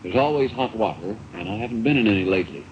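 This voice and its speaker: Male American radio host, low register, casual and wryly joking, heard on a dull, noisy 1960s broadcast tape. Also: lively intonation, fast talker. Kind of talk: casual radio chat and ad reads. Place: radio studio.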